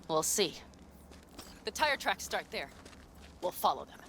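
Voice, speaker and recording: A woman's voice: medium pitch, very animated, a little slow, background noise. An actress playing a blunt, determined female nomad fighter in a game cutscene. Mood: vengeful. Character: fierce loyal female nomad fighter, vengeful. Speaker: game character. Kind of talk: game cutscene and combat voice acting.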